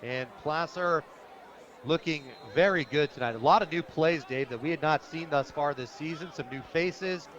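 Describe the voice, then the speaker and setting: An American man with a fairly high voice calls a football game live for radio. His delivery is enthusiastic and descriptive, following the action as it unfolds. The sound is a bit dull and lo-fi, recorded outdoors at the stadium. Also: normal speed, fairly bright tone. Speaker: radio play-by-play announcer.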